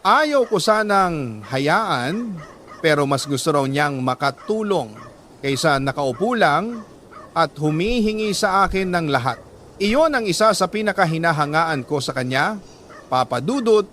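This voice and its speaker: A man with a Filipino accent reads a scripted, dramatic first-person story in an earnest, sentimental manner with deliberate phrasing. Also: on the high side, expressive, fairly bright tone, a little hiss or hum. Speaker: storyteller reading a letter.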